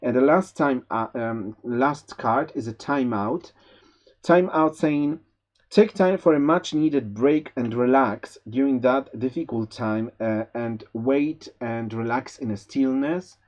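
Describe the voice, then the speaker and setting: A man's voice: mid-range voice, slow. Hesitant man with a Polish accent. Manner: hesitant, many fillers and restarts; gentle, spiritual advice. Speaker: tarot reader. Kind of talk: monthly tarot forecast. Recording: slightly dull lo-fi sound.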